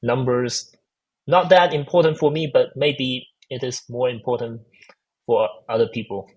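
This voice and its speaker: A man's voice: mid-range voice, fairly even intonation, a little slow, a little mellow, slightly rough, lo-fi sound. A man with a Chinese accent answering interview questions, hesitant with many fillers and restarts. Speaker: guest, AI researcher. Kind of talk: casual technical interview.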